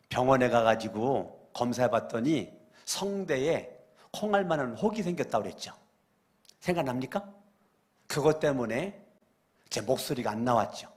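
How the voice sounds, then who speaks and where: A male pastor preaching a church sermon, repeating phrases and asking the congregation questions, moving between loud exclamations and quiet asides. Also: mid-range voice, animated, moderate pace, long pauses. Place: church sanctuary during a weekday service.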